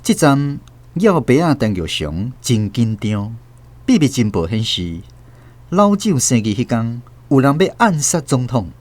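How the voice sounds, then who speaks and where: A man's voice: mid-range voice, a little mellow. Taiwanese man narrating a radio drama. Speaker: host and narrator.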